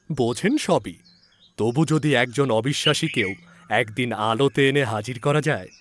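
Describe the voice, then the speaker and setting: A male storyteller reads literary prose with dramatic, very varied intonation. His pitch sits in the middle of the male range, and a faint noise runs behind the recording. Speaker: story narrator. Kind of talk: dramatic scripted literary narration.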